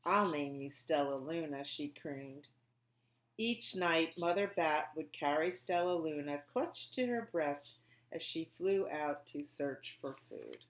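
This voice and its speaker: Slow-talking woman hosting children's storytime. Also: low voice. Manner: simple, child-directed talk with questions and pauses. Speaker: library storytime host. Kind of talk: children's storytime with songs, rhymes and read-aloud.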